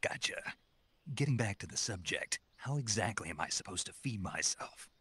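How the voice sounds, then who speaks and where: A man playing a gruff, dry-witted special forces soldier in a video game, with a husky, terse, deadpan delivery. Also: on the low side, moderate pace, no room sound, full character voice. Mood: dry, questioning. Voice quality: husky, gravelly. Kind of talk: video game dramatic acting.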